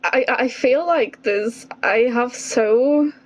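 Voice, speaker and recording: A woman's voice: a little high, takes it slowly. A woman, reacting casually as she streams a game.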